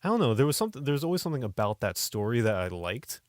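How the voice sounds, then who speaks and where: A man's voice: medium pitch, sing-song, rapid-fire, no room sound, clean studio sound. A man co-hosting a podcast, chatting casually and often hesitating as he searches for words. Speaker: podcast co-host. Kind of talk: casual chat.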